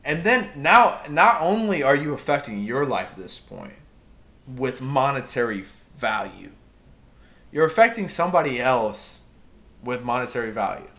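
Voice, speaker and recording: Bright-voiced young man on a rant. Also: medium pitch, animated, normal speed. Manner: impassioned ranting with emphatic outbursts. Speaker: podcast co-host. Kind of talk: casual podcast rant.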